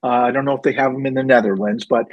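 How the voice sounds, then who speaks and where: A man's voice: medium pitch, steady tone, rapid-fire, dry recording, lo-fi sound. A man hosting a casual roundtable, explaining things with lots of "uh" and "right?" checks. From North America. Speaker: host.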